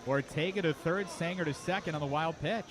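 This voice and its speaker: A man doing live radio play-by-play of a baseball game as the announcer, talking conversationally between pitches and lifting his voice for action. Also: fairly quick. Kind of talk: live radio sports commentary. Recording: live ballpark broadcast with loud background noise. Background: outdoor ballpark ambience.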